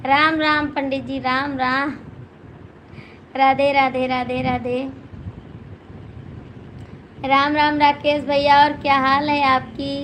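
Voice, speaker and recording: A hoarse woman with an Awadhi accent hosts a live stream as a folk singer. She greets viewers one by one in casual chat and teases them as she reads their comments. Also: high-pitched, a bit bright, low-quality recording. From Gonda district, Uttar Pradesh, India. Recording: clear background noise, passing vehicles.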